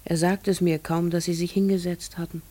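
An actress playing a troubled middle-aged farmer's wife in a German radio play, guarded, halting and fearful. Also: female voice, on the low side, flat delivery, warm tone, lightly acted. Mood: confiding, hushed.

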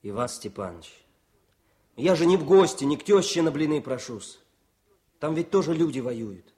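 An actor playing an eager, warm young army journalist in a Soviet radio drama, with music behind him. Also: male voice, a little high, animated, normal speed, lightly acted. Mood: pleading, respectful.

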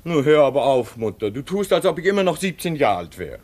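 A man's voice: fairly high pitch, sing-song, a little fast, noisy background. A frightened man in his thirties. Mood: reassuring. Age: 30s. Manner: urgent, pleading, breathless. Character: frightened 36-year-old man pleading to be heard. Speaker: radio play lead. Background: music.

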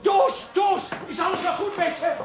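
An actor playing a fussy, devoted husband in a comic radio drama, tender, exasperated and coaxing. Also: male voice, very high-pitched, steady tone, normal speed, raised voice, over music. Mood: worried.

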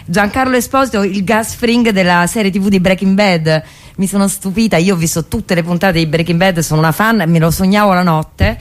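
A woman's voice: medium pitch, brisk. A woman co-hosting a radio show in casual, enthusiastic, informal chat.

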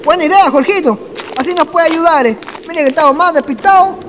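An actor playing a bossy, gruff village man in a radio drama, speaking colloquially and commandingly with a Chilean accent. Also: very high-pitched, brisk, background noise. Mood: wary. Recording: long reverb, music behind the voice.

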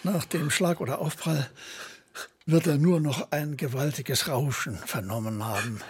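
Husky, pedantic old-time anatomist. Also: male voice, fairly high pitch, unhurried, full character voice. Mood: solemn. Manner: formal, Latin-laden, musing aloud. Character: learned, pedantic male anatomist relishing his dead bodies. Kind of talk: German radio play acting.

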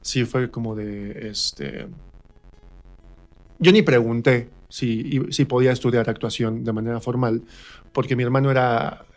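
A man with a central Mexican accent speaks at a middle pitch in a casual interview. He tells stories about himself conversationally, slipping into little re-enactments of what people said. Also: fairly even intonation, measured pace. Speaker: interview guest, stage actor. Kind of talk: casual personal storytelling in a radio interview.

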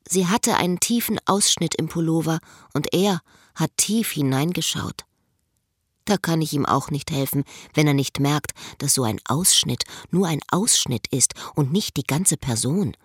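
A lonely village schoolteacher in her late thirties, husky and breathy, speaking low in an intimate radio monologue. The sound is very dry, as if thinking aloud. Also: no echo.

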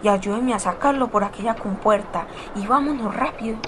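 A woman's voice: fairly quick, natural voice. A woman playing a daring, bossy child stowaway in an audio drama. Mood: urgent, bossy. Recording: loud background ambience and rumble.

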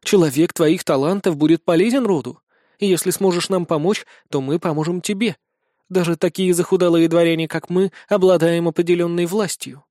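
Fantasy audiobook role: composed, commanding young aristocratic lady read by a man, high register, close dry sound. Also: fairly even intonation, fairly quick, a little mellow, no room sound, natural voice. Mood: composed, courteous. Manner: commanding, formal, persuasive. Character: imperious young noblewoman, composed schemer.

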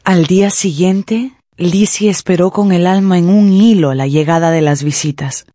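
A woman narrating a radio drama in a scripted, dramatic style, with deliberate, suspenseful phrasing. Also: lowish voice, mellow, dry recording, clean studio sound.

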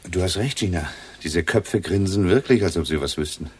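A man's voice: low voice, normal speed, breathy edge. A man acting a shrewd, laconic police inspector in a radio crime drama, speaking in a terse, probing, dry way. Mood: dry, pensive.

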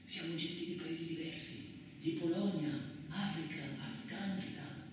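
Halting, echoey migrant student, acted by a man. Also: a little high, fairly even intonation, recorded from a distance, natural voice. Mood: slow, careful. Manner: halting broken Italian, searching for words. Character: Polish student migrant labourer, male, grimly resigned, secretive. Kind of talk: radio theatre monologue acting. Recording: very echoey room, off-mic, some noise.

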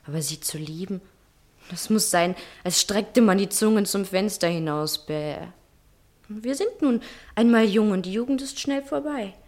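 A woman acting in a 1960s German radio play as a capricious young lover, theatrical and teasing, often drifting into breathy murmurs. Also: mid-range voice, expressive, normal speed, a little mellow, natural voice. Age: young adult. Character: dreamy, coquettish, capricious young woman in love.

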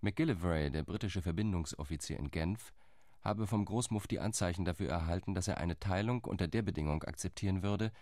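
An actor playing a measured male Zionist envoy who delivers a sober monologue in a radio drama. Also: low voice, a little mellow, no room sound, studio quality, close to an everyday voice. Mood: reporting. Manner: sober, reporting tone.

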